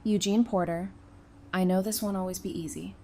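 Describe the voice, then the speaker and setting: A woman acting an earnest, bright-voiced loving bride. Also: medium pitch, steady tone, moderate pace, natural voice. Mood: devoted. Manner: earnest, emphatic, emotional delivery. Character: tender, devoted bride speaking heartfelt wedding vows. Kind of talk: fan audio drama acting. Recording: faint background noise and ambience.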